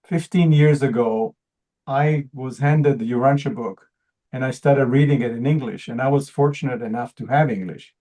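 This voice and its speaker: A man whose first language is Hebrew pitches a project to an audience in an earnest way. His voice sits in a middle pitch with a mellow, darker timbre. He often repeats a word or restarts a phrase mid-sentence. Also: moderate pace. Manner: earnest, repeats words while searching for phrasing. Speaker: presenter.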